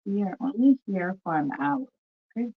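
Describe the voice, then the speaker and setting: A woman's voice: medium pitch, animated, normal speed, husky, phone-quality sound. A woman hosting a live talk show, chatting casually and repeating herself as she greets viewers, with a cold. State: has a cold, coughing. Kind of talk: casual live-stream chat.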